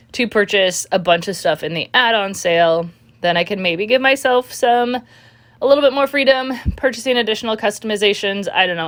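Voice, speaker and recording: A woman's voice: mid-range voice, fairly bright tone. A young woman vlogging her shopping with a chatty, filler-heavy voice that is a little congested from a cold. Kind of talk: casual screen-recording walkthrough.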